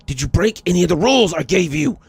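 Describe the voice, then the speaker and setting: A man's voice: high-pitched, expressive, fast, creaky, breathy edge, dry recording, pitched above the performer's own voice, heavily acted. A man playing a threatening, domineering father in a horror story, speaking in a demanding, menacing way. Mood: angry, demanding. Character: threatening, domineering father interrogating his family.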